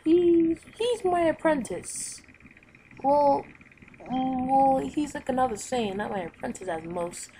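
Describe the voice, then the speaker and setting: A flustered male underling voiced by a woman in a homemade game roleplay. His voice sits fairly high, hesitant and deferential. Faint noise sits behind it. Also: takes it slowly, warm tone, low-quality recording. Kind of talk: amateur video game roleplay.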